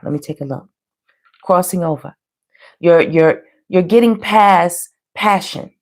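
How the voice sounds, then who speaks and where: A woman with a low, hoarse voice hosts a live tarot reading. She talks casually and colloquially to her viewers, often checking in as she interprets the cards. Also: a little slow. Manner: colloquial, frequently checking in with "you know" and "okay". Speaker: tarot reader hosting a live online reading.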